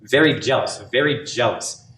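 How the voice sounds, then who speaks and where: A man's voice: mid-range voice, lively intonation, moderate pace, a bit bright, close to an everyday voice. A man playing a chatty, gloating, absent-minded small-town uncle in an amateur radio play. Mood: taunting. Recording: echoey room with background noise.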